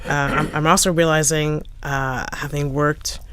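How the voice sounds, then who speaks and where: A middle-aged woman, a playwright in a panel discussion, speaking haltingly and reflectively as she searches for words. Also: low voice, steady tone, background noise. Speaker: playwright, interview guest.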